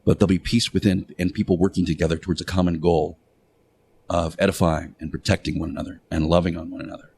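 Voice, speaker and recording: An earnest religious teacher played by a man delivers a radio sermon in a fairly low voice. He is preachy and admonishing, leaning on key words with deliberate pauses and repetition. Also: flat delivery, creaky, a little mellow, slight background noise. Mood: measured, earnest. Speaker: radio show host. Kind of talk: radio monologue, moral sermon.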